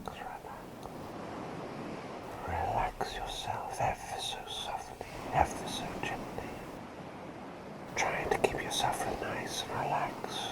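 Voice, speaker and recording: Man playing a very deep whispering plague doctor. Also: exaggerated voice. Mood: soothing, calming. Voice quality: breathy whisper. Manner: soothing, hesitant, politely formal. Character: gentle, attentive male plague doctor pampering a patient. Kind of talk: ASMR roleplay.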